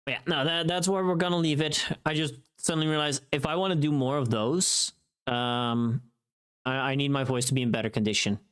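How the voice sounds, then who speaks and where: Livestreamer, mid-range pitch, vocally tired, informal and rambling chat delivery. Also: fairly even intonation, brisk, smooth. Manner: rambling, informal, mildly sweary. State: tired voice from long recording.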